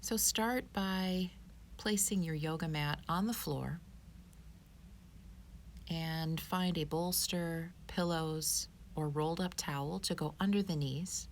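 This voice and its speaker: A woman teaching yoga, giving guided meditation instruction in a calm, soothing, unhurried manner. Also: low voice, a little hiss or hum.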